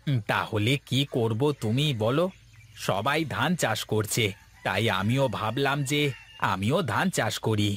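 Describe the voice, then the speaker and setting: A man's voice: medium pitch, measured pace, fairly bright tone, lightly acted. Man playing an agreeable village farmer, faint noise. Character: easily swayed village farmer. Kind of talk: cartoon voice acting.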